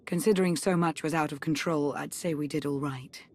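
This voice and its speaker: A proud, dutiful Sith spymaster in a video game cutscene. Her voice sits fairly low for a woman, and she speaks in a measured, formal, earnest way, composed even when she blames herself. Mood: grave. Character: composed, dutiful Sith intelligence chief, proud but self-reproachful woman.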